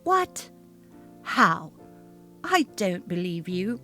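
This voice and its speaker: A woman's voice: fairly low pitch, sing-song, extremely slow, bright, forceful tone, soft background music, full character voice. A woman voicing a haughty, narcissistic female office manager who talks down to her staff, in a dramatically read story. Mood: affronted. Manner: haughty, cutting, demanding. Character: snobby, imperious, narcissistic female office manager, cold and bullying. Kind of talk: dramatic reading of a text-message story.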